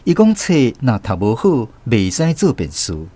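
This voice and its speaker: A man's voice: mid-range voice, wildly swooping pitch, moderate pace, mellow. A man playing a grown storyteller reflecting on his boyhood, narrating a radio drama with a Taiwanese accent. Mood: reflective.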